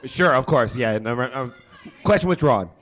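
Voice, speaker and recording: A man on a small stage throwing loud comic interjections into a live reading, away from the microphone. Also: mid-range voice, animated, normal speed, lo-fi sound. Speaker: co-performer interjecting.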